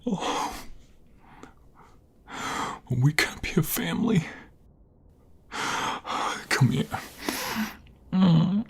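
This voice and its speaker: A very husky, breathy husband played by a man in an intimate ASMR roleplay, with a gravelly creak and an unhurried pace. He is tender and excitable, halting and repeating himself as emotion takes over. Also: on the high side, full character voice. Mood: overjoyed, amazed. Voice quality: breathy, husky, often dropping to whispers. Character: tender, excitable husband overjoyed at impending fatherhood.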